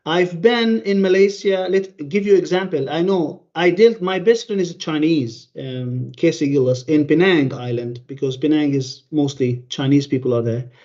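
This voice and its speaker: Guy presenting at a conference over a call, higher voice, lots of uhs and restarts, muffled dull audio. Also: a little mellow. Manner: halting, many fillers and restarts.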